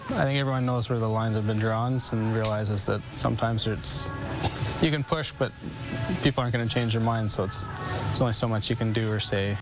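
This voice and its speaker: Gravelly man in halting interview. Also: mid-range voice, rough recording. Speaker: pharmacist. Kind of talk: interview clip.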